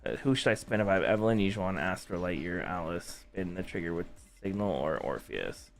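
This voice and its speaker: A man's voice: low voice, lively intonation, a little fast, creaky, soft background music. A man casually talking while he streams a video game to his chat. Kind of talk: casual livestream gameplay chat.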